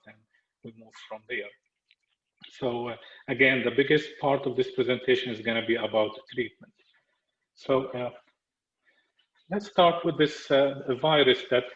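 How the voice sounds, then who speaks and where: A man from Lebanon with a Lebanese accent, presenting a medical webinar as a lecturer, speaking in short phrases with frequent hesitant pauses. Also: mid-range voice. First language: Arabic. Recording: echoey, dull muffled webinar audio.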